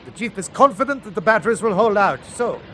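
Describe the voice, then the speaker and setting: A gruff, defiant submarine captain for a wartime radio play. His voice sits high, with clipped, emphatic military orders. A heavy engine drone runs behind him. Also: animated, fast. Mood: brisk. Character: commanding German U-boat captain, gruff, defiant, resourceful. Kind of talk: wartime radio drama acting. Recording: radio drama with loud engine noise.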